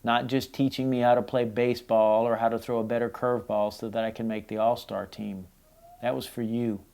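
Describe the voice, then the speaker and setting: A man's voice: mid-range voice, flat delivery, a little fast, gravelly, darkish tone. A middle-aged man, a son reading a personal letter aloud and reflecting on it.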